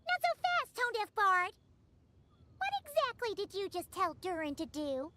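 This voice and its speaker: A woman voicing a small, childlike, cheeky floating fairy companion for a video game, with a squeaky voice. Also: sing-song, moderate pace, noisy background. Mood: indignant. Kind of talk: video game character acting. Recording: loud music behind the voice.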